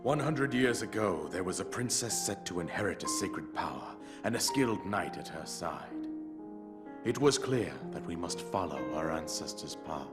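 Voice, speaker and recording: A deep-voiced, grave old king. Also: expressive. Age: older. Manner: grave, formal and measured. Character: old, grieving king, solemn and regal. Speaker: narrator of past events in a cutscene. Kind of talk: video game cutscene voice acting. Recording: game cutscene with loud orchestral score. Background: orchestral music.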